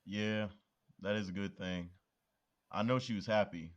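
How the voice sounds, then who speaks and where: A man's voice: fairly low pitch, measured pace, muffled recording, natural voice. An actor playing a laid-back young male police officer who teases his best friend in casual talk. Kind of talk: audio drama acting.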